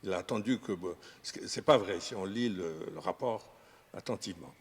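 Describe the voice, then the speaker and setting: A man speaking as a historian in an unscripted discussion, talking haltingly with many fillers and restarts. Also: low voice, husky. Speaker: historian guest. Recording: reverberant room.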